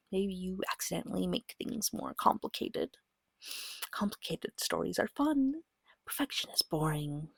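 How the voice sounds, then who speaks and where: Casting: female ASMR guide, breathy and half-whispered with soft fry, patient and soothing delivery, dry intimate close-mic sound. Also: on the high side, no room sound. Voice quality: breathy, often slipping into whisper. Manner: gentle, patient, reassuring, slow and deliberate. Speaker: game master and ASMR host. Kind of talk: soft-spoken ASMR guide to a tabletop game. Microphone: close microphone.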